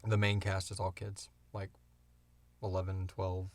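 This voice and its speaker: A man co-hosting a podcast, answering questions in casual, informal, halting talk. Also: deep voice, completely flat delivery, no echo. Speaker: podcast co-host and show creator. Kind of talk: casual Q&A chat.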